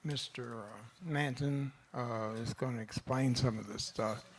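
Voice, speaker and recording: A man with a Texan accent chairing a city council meeting, speaking in a plain, folksy, halting way. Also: medium pitch, fairly even intonation, a little hiss or hum. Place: council chamber.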